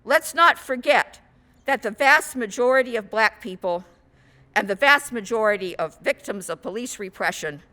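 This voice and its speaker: A woman minister giving a sermon in measured, rhetorical short phrases. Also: fairly high pitch, animated, bright, forceful tone. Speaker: guest minister.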